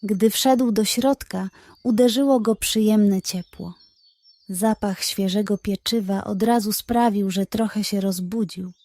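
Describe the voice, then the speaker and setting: Female bedtime-story narrator: gentle and expressive, very slow delivery, dry studio-like sound. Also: mid-range voice, no echo.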